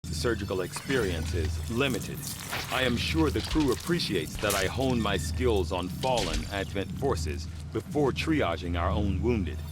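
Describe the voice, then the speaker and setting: An actor playing a clinical, erudite male scientist in a video game, speaking in formal, measured sentences. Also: lowish voice, animated, very noisy. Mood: clinical, detached. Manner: formal, precise, measured delivery. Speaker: alien researcher scientist. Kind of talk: scripted video game cutscene acting. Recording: loud music behind. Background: music.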